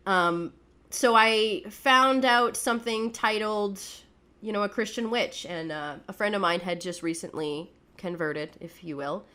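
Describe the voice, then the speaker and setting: A woman's voice: mid-range voice, a little slow, sharp tone. A young woman from Canada, co-hosting a podcast and telling her life story in a candid, chatty way, often laughing at herself. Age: young adult. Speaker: podcast co-host. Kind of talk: casual personal storytelling.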